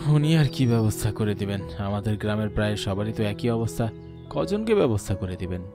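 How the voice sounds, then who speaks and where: A man's voice: lowish voice, big pitch swings, brisk, music in the background. A man playing a poor, earnest village fisherman and family man in a horror cartoon. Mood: weary. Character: poor, hopeful village fisherman, husband and father.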